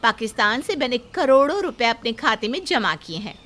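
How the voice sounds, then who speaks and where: A plaintive, brazen young daughter-in-law from an animated story, played by a woman. Her voice is very high and piercingly bright, with big swoops. She speaks slowly and dramatically, with a whine. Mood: flustered.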